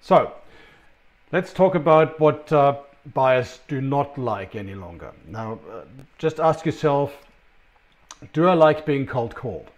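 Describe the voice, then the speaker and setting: A man's voice: medium pitch, sing-song, slow pace. A middle-aged man with an Australian accent presenting a recorded conference talk from his office, chatty and full of ums and restarts. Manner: conversational, many ums and restarts. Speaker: sales consultant presenter.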